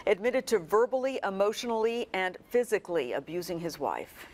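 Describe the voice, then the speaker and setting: A woman with a mid-range voice co-anchors the evening news. She reads teases and intros crisply, in a brisk broadcast style. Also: medium pitch, animated, a little mellow. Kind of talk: live local newscast reading.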